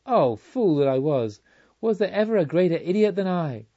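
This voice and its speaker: An excitable male pilgrim spirit from a storybook, acted out by a man, lifted in pitch and full of urgent wonder. He exclaims and reproaches himself in a slightly dull recording. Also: moderate pace. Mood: rueful astonishment.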